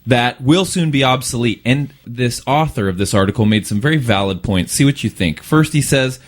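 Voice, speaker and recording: A man's voice: medium pitch, moderate pace. A man co-hosting a radio show, chatting casually as he explains stories and poses rhetorical questions. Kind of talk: casual radio chat, presenting lifestyle stories.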